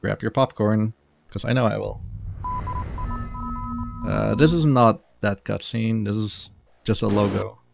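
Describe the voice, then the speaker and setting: A man's voice: fairly low pitch, steady tone, unhurried, creaky, pauses often, darkish tone, soft background music. A man giving casual live commentary as he presents his own video game speedrun.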